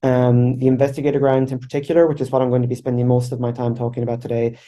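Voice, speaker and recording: Irish man in his thirties, a presenter with a level, mellow delivery and loose, filler-heavy phrasing. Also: mid-range voice, racing. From Ireland. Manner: relaxed, informal, many fillers like "kind of". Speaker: researcher presenting at a webinar. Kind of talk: conference presentation.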